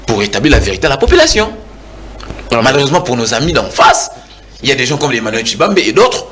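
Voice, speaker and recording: A man's voice: a little high, bright, forceful tone. Combative Congolese man in a TV debate. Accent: Congolese French. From Kinshasa, DR Congo. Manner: combative, repeats words while pressing his point. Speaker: political debate guest. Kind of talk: heated TV political debate.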